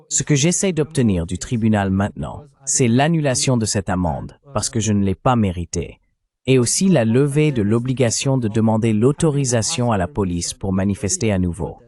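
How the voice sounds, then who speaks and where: A man with a fairly low voice speaks calmly and steadily as an interviewee. He lays out his points one at a time in a measured way. The delivery is an even voice-over. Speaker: interviewee, protester.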